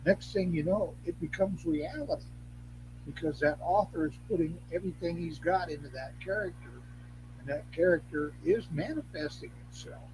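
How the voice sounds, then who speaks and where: An older man in casual, rambling conversation as a podcast guest, halting and repeating words for emphasis. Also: fairly high pitch. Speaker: podcast guest, elder of a witchcraft tradition. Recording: noisy line with hum.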